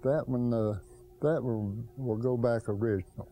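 An older man and garage owner answering questions in a plainspoken, hesitant way with many pauses, speaking in a large cluttered garage workshop. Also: medium pitch, mellow, slight background noise. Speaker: car restorer, garage owner. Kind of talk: casual interview answers.

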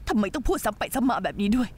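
A fast-talking scheming accomplice. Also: female voice, on the high side, expressive, darkish tone, husky. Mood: scolding. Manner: urgent, coaxing. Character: scheming young woman, calm coaxing accomplice. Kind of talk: dubbed TV drama.

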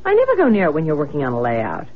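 An actress playing an anxious, spooked and insistent young wife in a radio drama. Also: female voice, low voice, huge pitch swings, racing, full character voice. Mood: defensive. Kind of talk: 1970s radio drama acting. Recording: muffled old radio broadcast with music.

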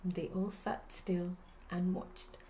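A woman's voice: fairly low pitch, little pitch movement, slow pace, dark tone, a little hiss or hum. A young woman storyteller narrating a puppet show for children. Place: small plain room indoors.

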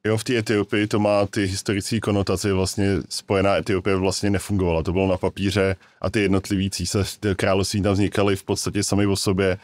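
A man speaking as an expert guest in a studio panel, measured, adding to others' points. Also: deep voice, flat delivery, no echo. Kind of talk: panel discussion. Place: small studio with wooden table. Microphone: desk mic on boom arm.

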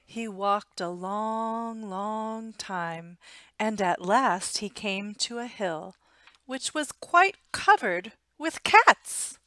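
Slow-paced American woman reading to children. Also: mid-range voice, dry recording. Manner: expressive, deliberate, simple storytelling for young children. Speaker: storytime reader. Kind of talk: reading a children's picture book aloud. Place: small room at home. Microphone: headset.

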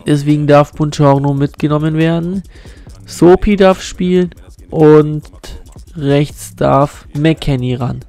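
A man's voice: on the high side, slow pace, vocal fry, warm tone, soft background music. A man with a cold and a blocked nose, commenting on a football video game he is playing, recorded on a USB condenser mic at home. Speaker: gaming YouTuber.

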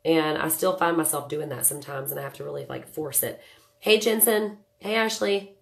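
Brief: thirties woman, lilt, low and stuffy-nosed from a cold, chatty webcam host. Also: moderate pace, creaky. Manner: chatty, joking, greets viewers by name. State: nasal voice from a cold. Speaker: makeup livestream host. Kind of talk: casual live makeup tutorial chat. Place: small home room indoors.

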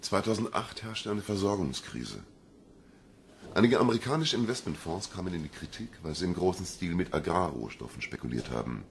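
An actor playing a gruff, self-assured detective with a gravelly voice in a crime radio drama. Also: male voice, deep voice, big pitch swings, fairly quick, a little hiss or hum, full character voice. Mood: explaining, insistent. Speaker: police investigator.

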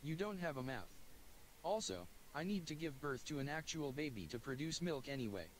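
A man's voice: on the high side, sing-song, normal speed, a little hiss or hum, rough recording. A man reading a chatty young woman doctor. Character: cheerful young woman doctor, caring and scatterbrained.

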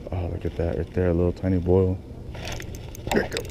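A man's voice: low-pitched, monotone, a little fast, dull audio, noisy background. A man talking casually to himself while fishing from a kayak on a lake, swearing now and then, recorded on a head-mounted action camera. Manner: muttering to himself, swears often. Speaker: fishing vlogger. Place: small kayak on a lake outdoors.

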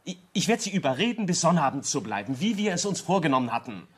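Earnest young male architect, radio drama. Raspy-edged, higher male voice, pleading, stumbling over words. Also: big pitch swings, fast talker. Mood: urgent, persuading. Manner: stammering, repeating words, pleading. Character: earnest, ambitious young male architect, excitable and easily hurt. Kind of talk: German radio drama acting.

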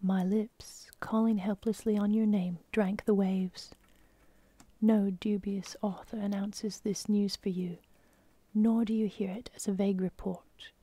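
A woman's voice: medium pitch, level tone, no room sound, natural voice. Drowned husband's ghost, as a whispering woman puts him on. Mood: mournful pleading. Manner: soft, slow unvoiced whisper. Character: drowned husband's ghost, a dream god in a man's shape, sorrowful. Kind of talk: whispered storytelling.

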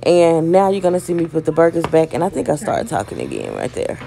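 A woman casually talking viewers through cooking in her home kitchen, in a relaxed, chatty way. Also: deep voice, quick pace, gravelly, a crowd in the background. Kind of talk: casual cooking vlog, voice-over narration.

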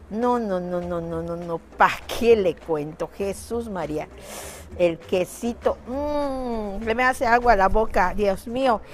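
A middle-aged woman hosts a live TV cooking segment in a studio kitchen, chatty and affectionate with viewers, full of exclamations. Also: on the high side. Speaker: TV cooking show host.

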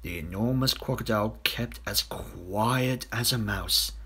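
A man narrating a children's audiobook in an expressive, theatrical storytelling manner. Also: fairly low pitch, a little slow, husky.

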